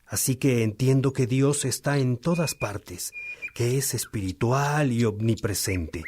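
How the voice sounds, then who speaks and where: A man's voice: mid-range voice, measured pace, darkish tone, a little hiss or hum, natural voice. A man acting a devout, earnest churchman in a religious radio drama, speaking with solemn, reflective, formal phrasing. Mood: troubled, pleading.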